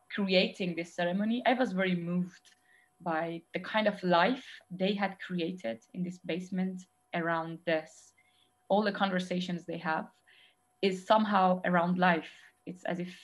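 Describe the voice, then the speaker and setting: Thoughtful Iranian woman filmmaker, low voice. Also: rough recording. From Iran. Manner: thoughtful, measured, frequent pauses and restarts. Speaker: documentary filmmaker guest. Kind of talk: reflective interview answers.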